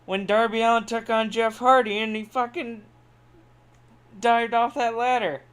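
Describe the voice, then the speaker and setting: A man's voice: very high-pitched, little pitch movement, a little slow, fairly bright tone, clean tone. A man co-hosting a casual podcast debate, blunt and prone to swearing. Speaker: podcast co-host.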